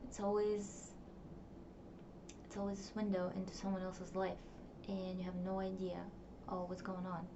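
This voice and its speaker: Young woman, first language Russian, diary vlog, rambling and reflective, monotone, distant mic, muffled and noisy. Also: lowish voice, normal speed. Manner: rambling, reflective, many fillers and restarts. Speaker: vlogger. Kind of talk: casual diary vlog to camera. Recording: distant, dull, noisy home recording.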